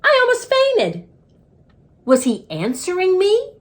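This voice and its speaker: A woman's voice: very high-pitched, wildly swooping pitch, slow pace. A woman reading a children's story aloud with dramatic, emphatic storytelling.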